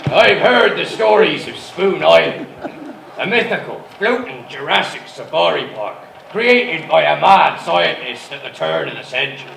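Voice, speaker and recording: A man playing a blustering old-time steamship captain in a theatrical, drawn-out radio comedy parody. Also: on the high side, animated, gravelly, fairly bright tone, exaggerated voice. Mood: incredulous, dramatic. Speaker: actor in live radio comedy. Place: arts centre stage before live audience. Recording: distant, echoey live theatre stage, noisy.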